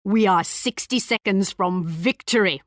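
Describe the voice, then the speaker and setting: A woman's voice: mid-range voice, a little slow, no echo, clean studio sound, close to an everyday voice. A woman voicing a gracious, proud English queen in a strategy game, formal and poised, with a British accent. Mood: haughty, teasing. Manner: formal, poised, theatrically regal, lilting. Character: regal English queen, gracious, proud, politely cutting war ally. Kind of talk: scripted strategy game voice lines.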